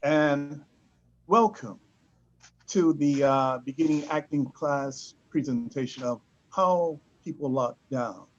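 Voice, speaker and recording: A man giving hesitant, halting opening announcements as an event coordinator over a lo-fi video call. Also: on the high side. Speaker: workshop coordinator introducing the event. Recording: dull lo-fi video call audio.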